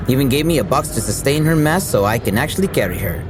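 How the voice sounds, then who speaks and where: A man's voice: medium pitch, lively intonation, fast talker, natural voice. A male actor as an opinionated driver in an audio drama. His mid-range voice is casual and grumbly, with music behind. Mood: easygoing.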